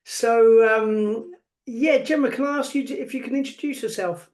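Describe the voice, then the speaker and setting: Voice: mid-range voice, moderate pace, darkish tone. A podcast host interviewing a guest in a casual, hesitant way, asking questions over an online call. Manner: hesitant, many fillers and restarts.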